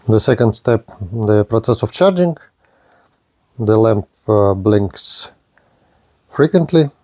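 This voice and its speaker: A man reviewing and demonstrating a radio, speaking in slow, halting. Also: on the low side, mellow, dry recording. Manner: slow, halting non-native phrasing. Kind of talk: product demonstration.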